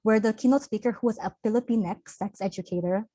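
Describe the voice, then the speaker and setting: A woman's voice: on the high side, fairly quick, mellow, no echo. Earnest young woman presenting a workshop. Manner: earnest, open, conversational with fillers. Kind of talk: online workshop talk. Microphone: video call.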